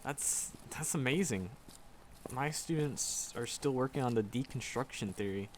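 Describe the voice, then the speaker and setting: A man's voice: medium pitch, slight background noise, lightly acted. A man playing a shy, flustered magic professor in a roleplay audio drama, stammering and nervous as he talks to a secret crush. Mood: shy, friendly. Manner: nervous, halting, stammering, rambling. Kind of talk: roleplay audio drama, intimate one-sided dialogue.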